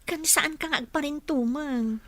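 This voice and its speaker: Woman playing a coy, bright-voiced office clerk. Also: sits high, normal speed. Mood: coy, teasing. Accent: Ilocano. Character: coy female office clerk, bashful and teasing. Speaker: actress in radio comedy drama. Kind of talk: Ilocano radio comedy drama acting.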